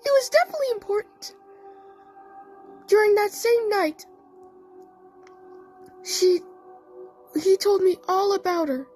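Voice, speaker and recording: A performer voicing a female cartoon cat scientist telling a story in a halting, rambling way, in a fan audio play. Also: very high voice, animated, darkish tone, faint music behind. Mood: earnest storytelling.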